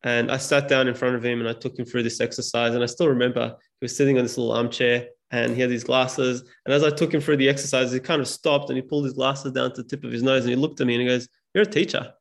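Gravelly, flat-voiced man, reflective guest. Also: mid-range voice, fast talker, hardly any pauses. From Serbia. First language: Serbian. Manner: reflective, hesitant with fillers. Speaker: podcast guest. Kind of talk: casual conversation.

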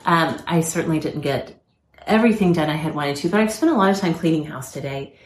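Low-voiced woman chatting in an echoey room. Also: brisk, slight background noise. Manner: laughing, self-deprecating storyteller. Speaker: podcast co-host. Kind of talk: casual chat.

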